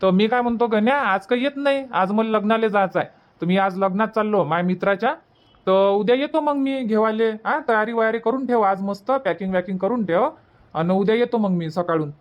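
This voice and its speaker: A man's voice: high-pitched, fairly quick, muffled recording, close to an everyday voice. A man playing an easygoing, obliging village uncle in a cartoon comedy, chatting with a Varhadi Marathi accent. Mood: agreeable.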